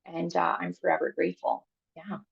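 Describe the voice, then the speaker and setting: A woman from Canada in her thirties talks through her own story on a call, candid and chatty, full of fillers and restarts. Her voice sits low with a gravelly fry. The audio is dull and lo-fi. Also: monotone, a little fast. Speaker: guest speaker. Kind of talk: personal talk on a video call.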